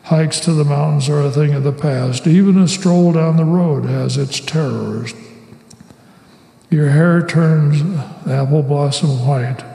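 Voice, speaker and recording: A man reading a scripture passage aloud in a slow, measured way in church. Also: on the high side, dark tone. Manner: slow, measured, gravelly delivery. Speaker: scripture reader. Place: church sanctuary. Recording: echoey hall with long reverb.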